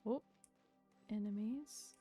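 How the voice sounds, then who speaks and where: A woman's voice: mid-range voice, slow pace, music in the background. A woman giving casual live gameplay commentary, muttering to herself as she puzzles things out. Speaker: game streamer.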